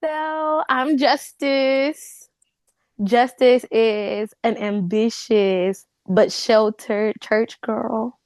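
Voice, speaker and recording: A woman's voice: a little high, very slow, clear, resonant tone, no room sound. A young American woman talking as an interview guest in a casual, playful style. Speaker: guest, stage play actor.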